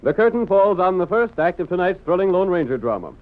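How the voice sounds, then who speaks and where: A man's voice: high voice, sing-song, brisk. A man announcing and narrating a radio drama in formal, scripted 1940s style. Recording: tinny narrow-band old radio recording.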